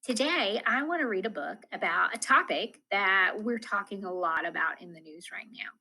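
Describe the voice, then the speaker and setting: A woman reading a children's storybook aloud as a school teacher, in an expressive, deliberate manner addressed to young listeners. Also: mid-range voice, poor audio. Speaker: elementary school math coach reading to pupils.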